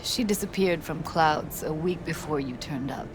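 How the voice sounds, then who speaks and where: A lowish female voice with music underneath. An actress plays a young woman in a video game who is blunt, foul-mouthed and hotheaded, carrying grief. The delivery is naturalistic and streetwise. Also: moderate pace, close to an everyday voice, rough recording. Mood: sorrowful, brooding.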